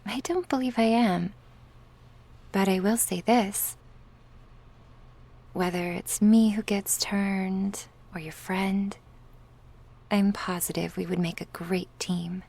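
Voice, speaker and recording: Syndicate newcomer, played by a woman: female, medium pitch, leisurely, silky politeness with a teasing edge, ASMR roleplay. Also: fairly even intonation, takes it slowly, gravelly, long pauses, darkish tone. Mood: smug, teasing.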